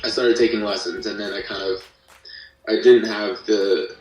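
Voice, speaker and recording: A man speaking as an interview guest in casual chat, haltingly rephrasing as he tells his own story. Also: fairly low pitch, fairly even intonation, darkish tone. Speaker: podcast guest, guitarist. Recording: roomy, distant mic, faint music.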